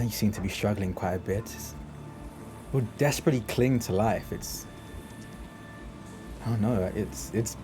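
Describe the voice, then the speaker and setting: Man playing a casually menacing low-voiced vampire. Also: natural voice. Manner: unhurried, softly amused, detached. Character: smiling, casually menacing male vampire fascinated by human struggle.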